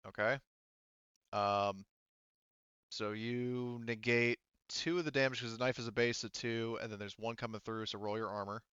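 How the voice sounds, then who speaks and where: Bright, clear-voiced man running a game. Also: medium pitch, fairly even intonation, normal speed, no room sound, highs cut off. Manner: informal, thinks aloud. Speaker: game master. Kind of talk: running a tabletop roleplaying game, casual and improvised.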